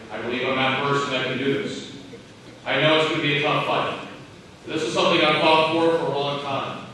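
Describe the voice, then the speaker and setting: American guy doing a campaign speech, bright forceful voice, super echoey hall. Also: fairly high pitch. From Maine, United States. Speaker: political candidate. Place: indoor hall at a county caucus. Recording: echoey hall, distant mic, faint noise.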